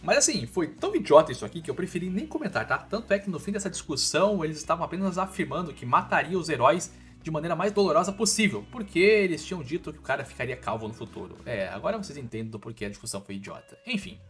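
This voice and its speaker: A man's voice: on the high side, sing-song, quick pace, few pauses, a bit bright. A man with a Brazilian accent narrating a scripted story recap in a colloquial, informal way with casual asides. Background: background music.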